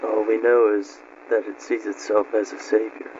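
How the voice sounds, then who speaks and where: A man playing a guarded informant, answering flatly and formally in an analog horror interview. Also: fairly low pitch, normal speed, lightly acted. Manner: flat, formal. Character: guarded male informant from an occupied planet. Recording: muffled, noisy radio transmission.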